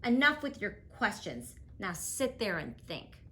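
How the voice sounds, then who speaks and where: A woman's voice: mid-range voice, sing-song, a little slow, pushed and bright. A woman voicing fed-up parents who scold their child in a stern tone, as part of a storybook reading.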